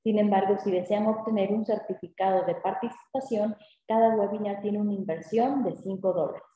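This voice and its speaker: A woman's voice: medium pitch, fairly even intonation, normal speed, dark tone. A woman, formally introducing a webinar speaker over a video call. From Ecuador. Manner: formal, polished delivery. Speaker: webinar moderator. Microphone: video call webcam. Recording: very echoey room.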